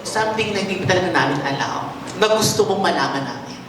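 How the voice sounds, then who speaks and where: A woman's voice: lowish voice, expressive, racing, background noise. A woman with a Filipino accent asking questions at a press meet, chatty and switching into Tagalog. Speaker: pageant media interviewer. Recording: echoey room, distant mic, crowd behind.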